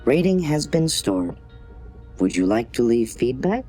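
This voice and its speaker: A man's voice: medium pitch, big pitch swings, measured pace, dark tone, soft background music. Man voicing a formal corporate AI, lilting intonation. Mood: politely scripted. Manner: formal, scripted, impassive politeness. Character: polite, obtuse corporate customer-service AI. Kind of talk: automated assistant in audio drama.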